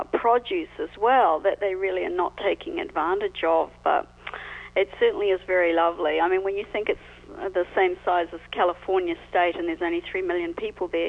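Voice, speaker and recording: A middle-aged woman from New Zealand with a New Zealand accent, answering questions as an expert guest in a friendly, measured way over the phone. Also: fairly low pitch, gravelly. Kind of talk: phone interview. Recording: tinny phone line.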